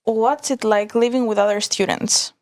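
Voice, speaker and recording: A young woman from Greece, a university student guest, speaking calmly and softly in casual chat with some hesitation. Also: a little high, normal speed. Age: young adult.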